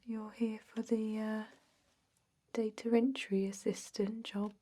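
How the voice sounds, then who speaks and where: Woman playing casual female job interviewer, ASMR roleplay: mellow, unhurried, laid-back, slightly hesitant. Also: fairly high pitch, level tone. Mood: laid-back, flat.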